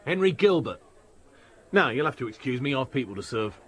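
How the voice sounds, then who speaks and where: An actor playing a brisk, matter-of-fact alehouse barman, polite to his customers, in a radio drama. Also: medium pitch, big pitch swings, close to an everyday voice. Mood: businesslike.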